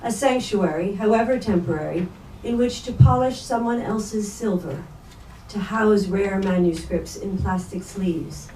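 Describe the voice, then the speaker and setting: A woman's voice: medium pitch, unhurried, mellow. A woman reading literary prose aloud as a reader at a staged book reading. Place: bookstore event space. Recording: echoey room, distant mic, faint noise.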